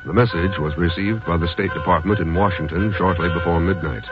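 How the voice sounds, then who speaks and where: A man with an American accent reading scripted, dramatic 1950s radio narration over loud orchestral music. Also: deep voice, fairly quick, highs cut off.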